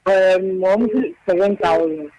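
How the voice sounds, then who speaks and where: A man's voice: high-pitched, a little slow, crowd noise, rough recording. A man with a Ghanaian accent calling a radio show, earnestly telling a story. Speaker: caller. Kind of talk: radio phone-in call.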